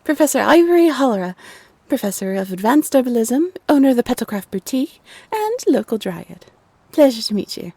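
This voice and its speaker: A woman playing a carefree female dryad professor and shopkeeper in a roleplay audio drama, brisk, cheerful and expressive. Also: on the high side, breathy edge, no echo, heavily acted. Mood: cheerful, lively.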